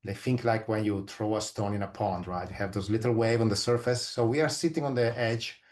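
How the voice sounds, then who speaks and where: Italian-accented man, slightly low voice. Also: fairly even intonation, brisk, darkish tone. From Italy. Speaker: interview guest, physicist and astronomer. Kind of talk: informal explanatory interview talk.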